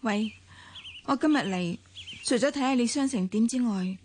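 An actress in a Hong Kong radio drama playing a soft-spoken, refined young wife with a Hong Kong Cantonese accent. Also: female voice, a little high, normal speed, slight background noise, lightly acted. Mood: gentle. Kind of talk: broadcast radio drama acting.

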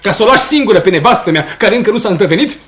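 An actor playing a stern, proud farmer husband who is quick to anger, in a brusque, emphatic Romanian radio theatre style. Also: male voice, high voice, animated, fairly bright tone, slightly rough, full character voice. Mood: furious.

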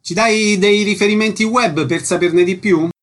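A man with a somewhat high-pitched voice hosts a radio show. He speaks in a presenter's style, handling guests and segments. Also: normal speed. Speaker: radio host.

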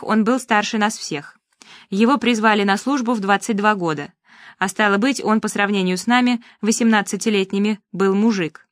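A woman reading a first-person story aloud in a calm, measured, gently ironic way. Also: medium pitch, no echo, clean studio sound. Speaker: audiobook reader.

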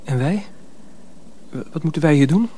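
A man's voice: a little high, expressive, normal speed, mellow, husky. A young man playing a puzzled, anxious apprentice in a radio drama, questioning and uncertain. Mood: puzzled, uneasy.

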